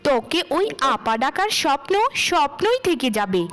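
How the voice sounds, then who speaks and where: Woman acting a haughty, high-voiced spoiled young sister. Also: very animated, moderate pace, fairly bright tone. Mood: scornful, scolding. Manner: haughty, scolding, self-admiring. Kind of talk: children's moral cartoon dialogue.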